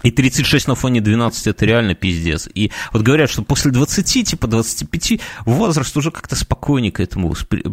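A man's voice: on the low side, creaky, no room sound, clean studio sound. A man in his thirties, a podcast co-host, rambling and swearing casually about getting older. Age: 30s. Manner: profane, rambling, self-mocking. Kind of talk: casual chat.

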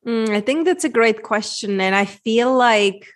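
A woman's voice: on the high side, animated, unhurried. A woman in her forties with a Czech accent, earnestly telling her personal story as a podcast guest, repeating phrases for emphasis. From Czech Republic. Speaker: podcast guest, women's transformation coach.

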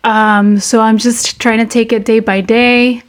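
Slightly high, raspy woman, hoarse, hesitant co-host. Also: flat delivery, measured pace. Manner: hesitant, many ums. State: hoarse from talking. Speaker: podcast co-host. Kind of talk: casual chat.

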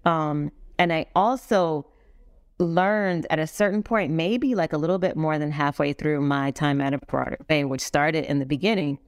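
A woman's voice: low voice, normal speed, no room sound, clean studio sound. A middle-aged woman guest speaking earnestly and thoughtfully in a casual interview. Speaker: guest, executive director of a foundation.

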